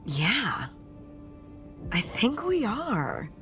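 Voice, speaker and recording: A woman acts an earnest, dutiful girl vampire hunter in audio drama style. She speaks very slowly with dramatic pauses and sweeping intonation, over faint music. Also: medium pitch, huge pitch swings, a bit bright, exaggerated voice.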